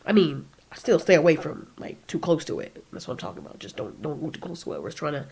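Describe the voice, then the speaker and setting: A woman's voice: deep voice, big pitch swings, quick pace, vocal fry, darkish tone, slightly rough, voice pushed down, full character voice. A woman voicing a stressed male crew leader who mumbles and talks around the problem.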